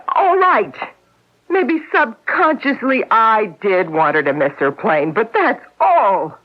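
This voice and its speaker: An actress playing a distraught, jealous wife in a radio drama, halting and emotional as she defends herself against a murder accusation. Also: high-pitched, full character voice. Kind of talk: 1970s radio drama acting. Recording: dull lo-fi radio recording.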